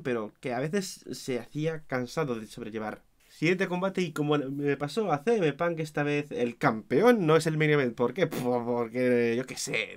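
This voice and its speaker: A hoarse young man giving an opinionated, self-mocking casual review, with his volume swinging between loud and mumbled. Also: on the high side. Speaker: wrestling show reviewer.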